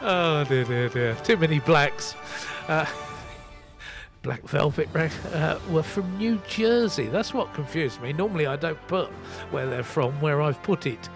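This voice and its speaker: A man's voice: fairly high pitch, a little slow. A man hosting a casual soul music radio show, chatty and self-mocking as he reads out record details. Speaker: radio host and DJ.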